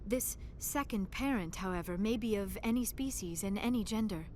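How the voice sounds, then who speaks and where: Woman playing a bookish young alien scientist lady, awkward n earnest, very proper speech, faint rumble behind her. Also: moderate pace, a little mellow, close to an everyday voice. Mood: calm explaining. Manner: formal, precise diction with few contractions. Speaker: archaeologist crew member. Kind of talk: video game voice acting.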